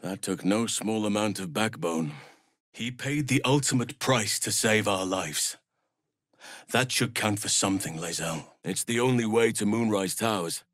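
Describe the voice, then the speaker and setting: A grave, low-voiced elven druid. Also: male voice, a bit bright, dry recording, clean studio sound. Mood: grave, gentle. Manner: measured, formal, earnest. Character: calm, grave elven druid man, wise and nature-loving. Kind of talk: video game character voice lines.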